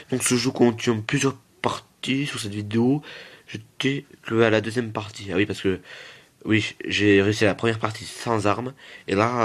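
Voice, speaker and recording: A man hosts a gaming video. He talks casually, circling back over his own words and wandering off into joking asides with the viewers. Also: on the low side. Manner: repeats himself and digresses, joking with viewers. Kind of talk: casual, rambling gameplay commentary.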